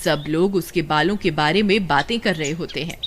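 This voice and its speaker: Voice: mid-range voice, moderate pace, slight background noise. A storyteller reading scripted narration for a cartoon tale. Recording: loud music behind the voice.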